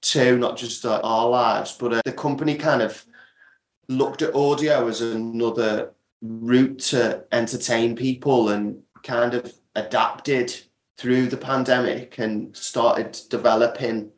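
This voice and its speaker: Echoey room, man, medium pitch, podcast producer guest, casual explanatory talk. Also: fairly even intonation, slow pace. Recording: roomy, echoey sound.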